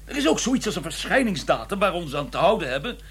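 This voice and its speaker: An actor playing an exasperated, dry-witted doctor who scolds in an educated, sardonic manner in a radio play. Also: male voice, high-pitched, big pitch swings, fast talker, fairly bright tone. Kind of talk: radio play acting with some narration. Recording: noisy, humming radio play recording.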